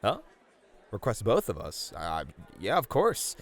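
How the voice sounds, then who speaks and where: A man's voice: fairly low pitch, wildly swooping pitch, a little slow, heavily acted. A man playing a bashful yet flirty male bunny host who gets easily flustered, in an ASMR roleplay. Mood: friendly, inviting. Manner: flustered, hesitant, playfully protesting.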